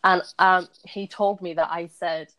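A woman in her twenties chatting casually, an animated storyteller who laughs often. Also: on the low side, unhurried, poor audio. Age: 20s. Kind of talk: casual chat.

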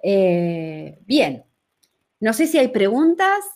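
A woman's voice: medium pitch, sing-song, very slow, a little mellow. A woman with a Uruguayan accent teaching in a conversational live talk, repeating phrases for emphasis and often checking in with her listeners. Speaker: human design teacher.

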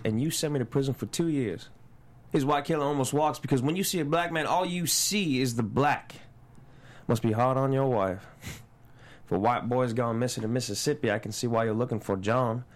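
A man's voice: mid-range voice, fairly quick, close to an everyday voice. A man playing a proud, guarded rural Mississippi father in a screenplay read, terse and restrained. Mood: guarded defiance. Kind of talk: table read of a screenplay drama.

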